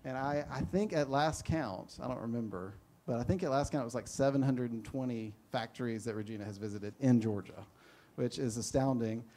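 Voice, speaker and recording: A man's voice: medium pitch, brisk, few pauses, mellow, slight background noise. A man moderating a panel, introducing the speakers in a rambling, self-deprecating way. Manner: rambling, self-deprecating, many fillers and restarts.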